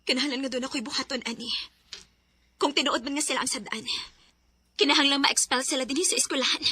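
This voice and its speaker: A woman playing an earnest, frank high school girl in a radio drama, with a slightly husky voice and a Cebuano Filipino accent. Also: fast talker, bright, forceful tone. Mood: shocked, concerned.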